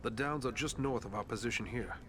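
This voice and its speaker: A man's voice: mid-range voice, fairly bright tone, natural voice. Steadfast fantasy ranger tracker, played by a man. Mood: resolute. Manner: formal, earnest, deliberate. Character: steadfast, resolute male ranger tracker, loyal to friends. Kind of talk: fantasy video game acting.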